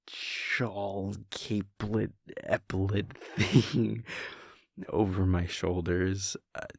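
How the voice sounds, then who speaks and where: A young man playing a laid-back, wry vampire prince boyfriend in an intimate audio roleplay, telling a story in a hushed, halting, amused way. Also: deep voice, vocal fry, no echo, clean studio sound, full character voice. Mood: smug, amused, then sheepish, amused. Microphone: close, dry studio microphone.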